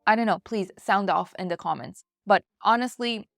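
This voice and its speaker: A woman's voice: mid-range voice, normal speed, vocal fry, no room sound, studio quality. A woman hosting a book review in a chatty, conversational way, speaking directly to her viewers. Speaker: book reviewer and channel host.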